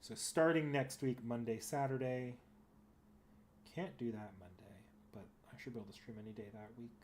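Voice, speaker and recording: A man's voice: medium pitch, moderate pace, darkish tone, a little hiss or hum. A man streaming games, chatting casually and unscripted, hesitant and often correcting himself as he thinks aloud. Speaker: live game streamer.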